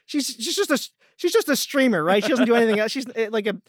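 A man's voice: very high voice, expressive, quick pace. A man talks casually as a guest voice actor on a podcast. His delivery rambles and halts, with repeated words, and the sound is dry with no room echo. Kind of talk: casual chat.

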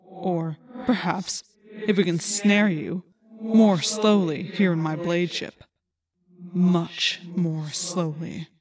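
A woman voicing a cruel male alien villain commander in a menacing, gloating, drawn-out way for a story. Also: fairly low pitch, fairly even intonation, music in the background. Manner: slow, drawn-out, taunting.

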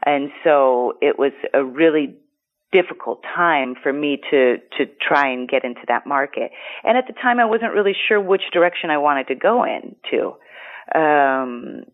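Greek woman on a tinny phone line. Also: rumbling low. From United States. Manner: rambling, frequent fillers and restarts. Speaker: guest. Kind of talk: casual interview talk.

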